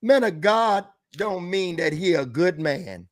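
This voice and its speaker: A man's voice: high voice, animated, slow pace. A man as a guest author giving emphatic, sermon-like advice, calling out to the audience with rhetorical questions.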